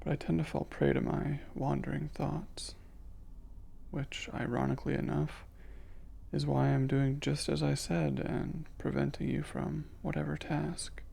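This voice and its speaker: A man playing a curious, reserved male alien in an ASMR roleplay, speaking softly in formal, precise and hesitant wording. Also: a little high, little pitch movement, a little slow, vocal fry, breathy edge, heavily acted. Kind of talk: ASMR roleplay, soft intimate delivery.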